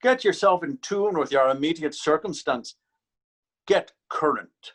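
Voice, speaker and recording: Man acting a stern beat cop, slightly high. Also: animated, measured pace. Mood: lecturing. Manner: admonishing, authoritative. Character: stern, weary Irish male beat policeman, bullying. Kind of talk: readers theater stage acting.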